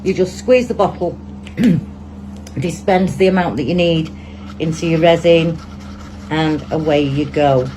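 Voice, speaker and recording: A woman's voice: low-pitched, a little slow, dark tone, slight background noise, rough recording. A woman with a Manchester accent, chatting and thinking aloud as she demonstrates a resin craft project at her table. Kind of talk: casual hands-on tutorial.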